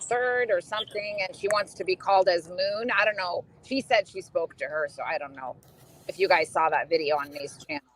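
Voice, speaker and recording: A woman's voice: fairly low pitch, brisk, poor audio. A woman talking hesitantly in a casual group chat, turning to jokes. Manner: hesitant, then joking. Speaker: call-in guest.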